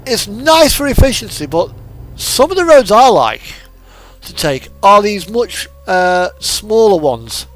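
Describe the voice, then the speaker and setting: A man's voice: high-pitched, sing-song, takes it slowly. A man streaming a truck driving game, giving easygoing, rambling casual commentary and chatting to viewers. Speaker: livestreamer playing a truck driving simulator. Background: background music and game truck engine.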